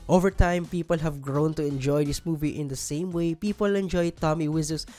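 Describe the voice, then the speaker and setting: A woman's voice: low-pitched, steady tone, a little fast, mellow, soft background music. A woman hosting a scripted countdown video, narrating and giving commentary. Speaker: host of a video list show.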